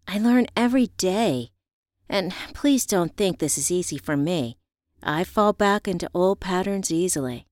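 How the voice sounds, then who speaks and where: A woman voicing a reflective, encouraging heroine in an audiobook, speaking in a measured, earnest way. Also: medium pitch, a little mellow, no room sound, clean studio sound, lightly acted.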